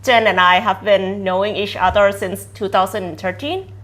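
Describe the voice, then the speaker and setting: Host: woman, mid-pitched, keeps questions short, chats casually. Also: fairly even intonation, moderate pace, a bit bright. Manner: brief questions and short backchannels. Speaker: interview host. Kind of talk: casual interview conversation.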